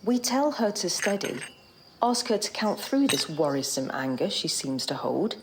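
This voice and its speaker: Actress as animated, concerned Victorian wife. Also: female voice, fairly low pitch, normal speed. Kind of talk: audio drama acting. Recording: clear background noise, clinking dishes.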